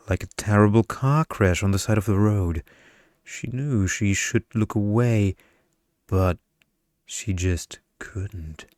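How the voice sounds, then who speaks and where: Male ASMR narrator, low smooth voice, unhurried, hushed seductive delivery, dry close recording. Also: dry recording. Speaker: story narrator. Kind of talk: ASMR bedtime story narration, scripted.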